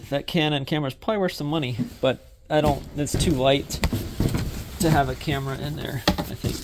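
A man's voice: medium pitch, vocal fry, very noisy. A man, vlogging in a storage unit and thinking aloud with lots of fillers and restarts as he sorts through items. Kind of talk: casual vlog narration while sorting items. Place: indoor self-storage unit.

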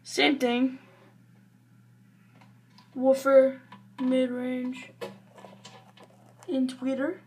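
A woman giving a casual, rambling home review in a halting, offhand manner. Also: high-pitched, little pitch movement, a little mellow, slight background noise. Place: small bedroom at home, indoors. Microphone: handheld camera or phone.

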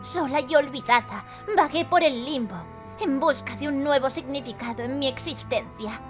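A woman's voice: high-pitched, normal speed, natural voice. A woman voicing a solemn, blunt, forgotten mystic in a cartoon fandub. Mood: solemn, wistful. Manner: dramatic, solemn. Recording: music behind the voice.

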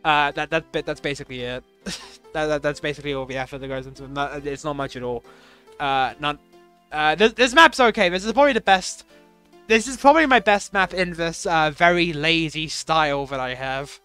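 A young man with a bright, fairly high voice rambles through commentary on levels he built. He stumbles, restarts and sells his own work short. Faint game music plays under his voice. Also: brisk, clean tone. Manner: rambling, self-deprecating, many fillers and restarts. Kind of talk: casual gameplay commentary.

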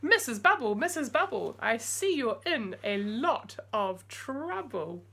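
A stern magical woman doctor from a storybook , put on by a woman reader. She instructs in a high, animated, sing-song voice. She is eccentric and sure of herself. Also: a little slow, vocal fry, breathy edge, exaggerated voice. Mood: calling out.

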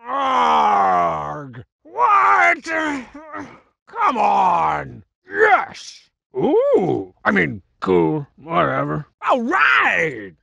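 A swaggering, scheming cartoon masked wrestler for a video game. The voice is pitched high and heavily gravelled, swooping widely as it boasts and mocks. It is petty and full of itself. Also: male voice, bright, forceful tone, no room sound, full character voice. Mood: frustrated, then excited. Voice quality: gravelly, raspy. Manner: boastful, mocking, swaggering wisecracks. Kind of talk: video game character barks.

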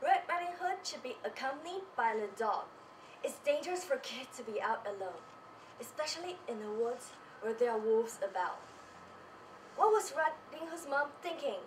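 A high-voiced teenage young woman gives a rehearsed student presentation with emphatic delivery. She sounds distant, in an echoey room with faint noise. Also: animated, moderate pace. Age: teens. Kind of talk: scripted stage presentation. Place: small presentation stage indoors. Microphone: far camera.

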